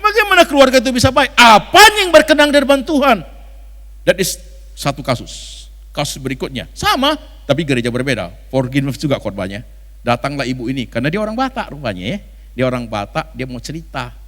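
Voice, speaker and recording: Animated Indonesian male preacher in a reverberant hall. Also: a little high, brisk. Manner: dramatic, colloquial, mixes in English phrases. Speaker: pastor preaching. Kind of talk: animated storytelling sermon acting out dialogue. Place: large church function hall.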